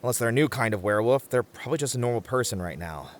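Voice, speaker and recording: A man's voice: medium pitch, animated, rapid-fire, natural voice. A man playing a muscular, sensible but easily flustered bunny-man camper in a comedic audio roleplay, hesitant and wry as he reasons aloud. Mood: explaining.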